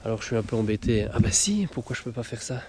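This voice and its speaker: A man's voice: medium pitch, big pitch swings, fast talker, mellow. A man with a Belgian French accent, chatting enthusiastically in a vlog while taking photos outdoors in a misty forest. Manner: chatty, enthusiastic, laughs often. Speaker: photographer vlogger. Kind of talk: casual vlog chat. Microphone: action camera. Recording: noisy outdoor camera audio.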